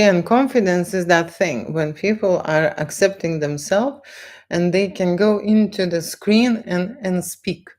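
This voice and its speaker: A woman with a Lithuanian accent speaks in a lowish voice at a very unhurried pace. She hosts an unscripted live stream, circling back over phrases and pausing to check that listeners follow. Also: darkish tone. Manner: halting, repeats phrases, frequent "okay?" check-ins. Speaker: live stream host, photographer. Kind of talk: unscripted live stream chat, answering viewers.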